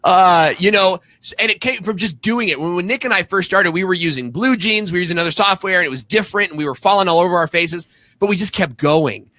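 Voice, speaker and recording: A man's voice: high voice, fast talker, bright, forceful tone, dry recording. A man in his thirties co-hosting a live show in a casual, chatty panel style. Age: 30s.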